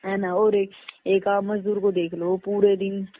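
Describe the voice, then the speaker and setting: A woman's voice: mid-range voice, little pitch movement, moderate pace. A young woman chats casually on a phone call, often checking for agreement. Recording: narrowband phone line, faint noise.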